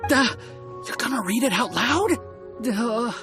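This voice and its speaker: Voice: medium pitch, lively intonation, measured pace, music in the background, close to an everyday voice. An actor playing a bashful, earnest young male alchemy student in a video game, hesitant and deferential. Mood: sheepish. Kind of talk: video game character acting.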